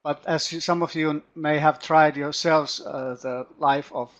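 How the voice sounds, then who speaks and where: A middle-aged man with a Finnish accent gives an online lecture as a teacher trainer, speaking haltingly and often repeating words. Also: on the high side, fairly even intonation. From Finland. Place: home study room. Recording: muffled lo-fi online call audio.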